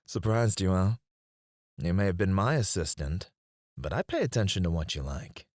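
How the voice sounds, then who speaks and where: A man playing a possessive, outwardly gracious CEO in an intimate scripted ASMR roleplay, speaking in a measured, suave manner. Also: low-pitched, lively intonation, no echo, studio quality. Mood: gracious, pleased. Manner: measured, suave, soft chuckles and sighs. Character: smooth, possessive male CEO, outwardly gracious, quietly controlling.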